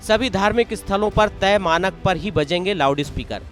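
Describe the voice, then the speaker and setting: High-pitched male news narrator over music. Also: moderate pace. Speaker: news presenter. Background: background music.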